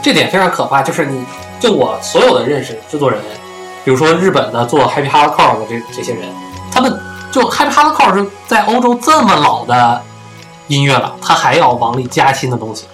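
A man's voice: medium pitch, sing-song, brisk, fairly bright tone. A man co-hosting a casual music chat, jumping in and repeating phrases for emphasis. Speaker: music podcast co-host.

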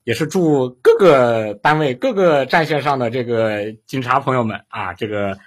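Husky man hosting a livestream. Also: medium pitch, moderate pace. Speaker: livestream host. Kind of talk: casual livestream interview.

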